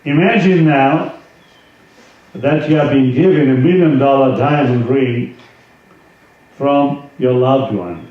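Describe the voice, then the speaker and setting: A man speaking as a swami, giving an informal spiritual talk and reading aloud from a book, with halting conversational asides. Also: mid-range voice. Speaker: swami, yoga teacher. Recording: roomy echo, distant mic, dull sound, faint noise.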